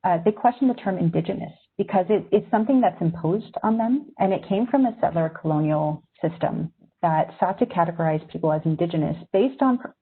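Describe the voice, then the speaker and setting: A woman's voice: fairly low pitch, animated, a little fast, husky. A woman who grew up in Syria, a magazine editor, giving a thoughtful, reflective webinar talk to journalism students over a video call. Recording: tinny video-call audio.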